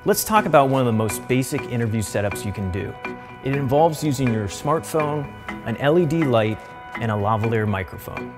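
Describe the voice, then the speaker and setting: A man's voice: medium pitch, expressive, fairly quick, over music. A man giving a casual spoken demonstration as a filming instructor.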